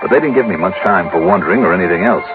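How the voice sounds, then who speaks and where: An actor playing an affable, sharp American insurance investigator who loves fishing, in the style of mid-century radio drama. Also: male voice, sits low, expressive, very fast. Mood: wry, suspicious. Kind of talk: 1950s-60s American radio drama acting with narration. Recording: muffled old radio recording with music.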